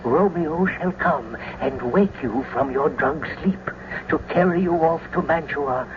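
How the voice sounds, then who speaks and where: An earnest friar from a classical radio play, acted by a man, fatherly and scheming. His fairly high voice declaims with urgency. The old recording is dull and clearly noisy. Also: measured pace, natural voice. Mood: urgent, reassuring. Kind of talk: classical tragedy radio acting.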